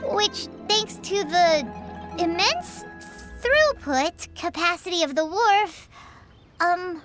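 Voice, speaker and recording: A woman voicing a tiny childlike fairy guide in a game, chatty, excitable and easily exasperated, with a squeaky voice. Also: slow pace, exaggerated voice. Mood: instructive, faltering. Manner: refers to self in third person, squeaky, excitable. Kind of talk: animated game voice acting. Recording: background music over the voice.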